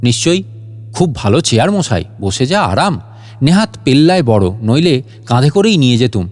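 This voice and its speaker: A man's voice: medium pitch, very animated, normal speed, darkish tone, faint music behind, lightly acted. A man voicing a cocky master thief in a comic storybook reading. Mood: smug, amused. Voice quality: coughing laugh. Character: cocky master thief with a hacking cough, later panicking.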